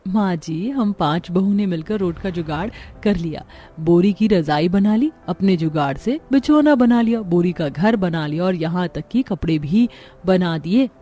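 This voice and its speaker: A woman's voice: fairly low pitch, lively intonation, unhurried, warm tone. A woman voicing a kind, resourceful young village daughter-in-law in a cartoon story, earnest and caring.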